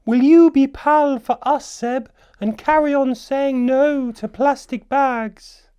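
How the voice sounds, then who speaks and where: A woman playing a huge, gentle, very old female leatherback turtle in a storybook, speaking slowly and deliberately. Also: high voice, fairly even intonation, pitched above the performer's own voice. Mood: hopeful, grateful. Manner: slow, deliberate, drawn-out delivery. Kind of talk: storybook character voice.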